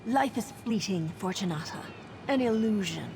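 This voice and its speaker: A woman voicing a composed female assassin posing as a servant in a video game, silky and deliberate. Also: mid-range voice, animated, darkish tone, a little hiss or hum, natural voice. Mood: coldly menacing. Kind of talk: dramatic video game dialogue.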